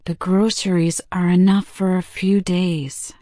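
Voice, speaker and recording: A woman reading a gentle grieving grandmother. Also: on the low side, little pitch movement, measured pace, dry recording, clean studio sound, pitched below the performer's own voice, natural voice. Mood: thoughtful. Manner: soft, gentle speech.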